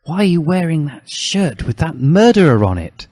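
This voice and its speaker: A man's voice: on the high side, sing-song, normal speed, a little mellow, no echo, clean studio sound, pitched above the performer's own voice. A man voicing a timid, prim and earnest teenage schoolgirl in a comic dramatic reading. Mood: questioning.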